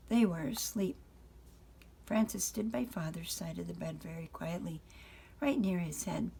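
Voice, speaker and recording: An older woman, a grandmother, reading a children's picture book aloud in an unhurried way, pausing to address the children. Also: lowish voice, creaky, mellow, slight background noise.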